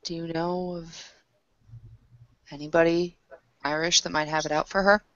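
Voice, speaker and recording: A woman's voice: low voice, flat delivery, normal speed, a bit bright, dry recording, highs cut off. Casual woman player, dry close sound. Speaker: tabletop roleplaying player. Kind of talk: casual in-character game dialogue.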